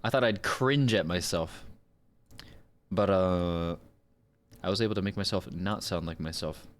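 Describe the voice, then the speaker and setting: Low-voiced man chatting casually on stream. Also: unhurried. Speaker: livestreaming voice actor. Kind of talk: casual livestream chat.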